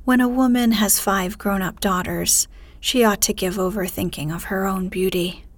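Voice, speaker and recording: A woman voicing a fussy, excitable middle-aged mother in a soft, breathy whisper during a bedtime storybook reading. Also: medium pitch, moderate pace, dry recording, natural voice.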